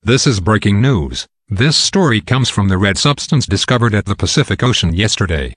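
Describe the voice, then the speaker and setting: A man's voice: fairly low pitch, animated, normal speed, a bit bright, no echo, studio quality. A man playing a male news anchor, delivering scripted breaking news in a formal, matter-of-fact way.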